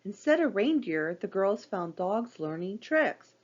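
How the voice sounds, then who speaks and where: A woman reads a children's storybook aloud with a very lively, swooping delivery. Her voice sits at a medium pitch and plays up the drama for young listeners. The recording sounds slightly dull and lo-fi. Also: a little slow. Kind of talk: scripted read-aloud storytelling.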